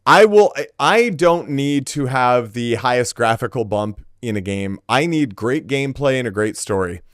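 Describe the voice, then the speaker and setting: Clear-voiced man in casual conversation. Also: mid-range voice, expressive, normal speed, no echo, clean studio sound. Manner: informal, emphatic, slangy. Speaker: podcast co-host. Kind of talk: casual gaming podcast chat.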